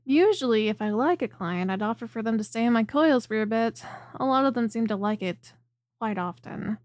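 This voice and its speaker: A woman voices a snake-bodied monster girl who works as a chiropractor in an ASMR audio roleplay. The voice is high and very dry, close to the listener with no room sound. The delivery is soft and attentive, clingy and coaxing, with a teasing streak. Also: lively intonation, normal speed, dry recording, lightly acted. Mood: affectionate, teasing. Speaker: voice performer.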